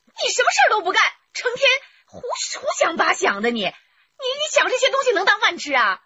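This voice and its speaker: A fiery, fed-up middle-aged wife in a radio crime drama. Her voice is extremely high, piercing and animated as she nags and scolds. The recording is a little dull. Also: fairly quick, a little hiss or hum, exaggerated voice. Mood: nagging, exasperated. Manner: shrill, nagging, emotional.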